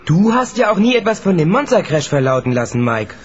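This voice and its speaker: A young male actor playing an eager, clever boy in a children's radio play, with a husky voice. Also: sits high, big pitch swings, fairly quick, heavily acted. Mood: precocious, indignant. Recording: dull, reverberant old cassette recording.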